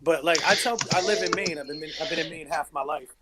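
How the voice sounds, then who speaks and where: A man's voice: a little high, fast, over a noisy crowd. A man with a Boston-area New England accent, a musician guest talking loosely in a casual livestream interview. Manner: loose, rambling, self-interrupting.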